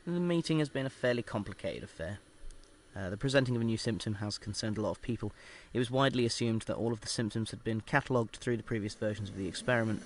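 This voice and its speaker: An actor playing a measured research scientist who dictates an experiment log in a formal, clinical manner, with hesitant pauses, in an audio drama. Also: male voice, medium pitch, slight background noise, lightly acted.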